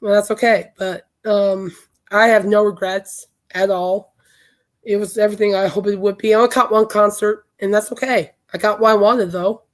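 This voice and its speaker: A woman hosting a podcast in casual rambling chat, her voice hoarse and raspy from being sick, telling stories with enthusiasm. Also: mid-range voice, steady tone, moderate pace. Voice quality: raspy, worn throat. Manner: chatty, enthusiastic storytelling. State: hoarse, voice shot from illness. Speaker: podcast host.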